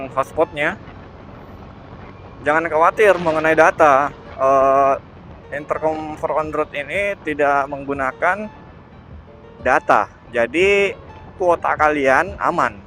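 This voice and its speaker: A man's voice: fairly high pitch, fairly bright tone. A man giving a casual vlog explanation, hesitant and often correcting himself. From Indonesia. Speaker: motovlogger, host. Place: on a motorbike, outdoors.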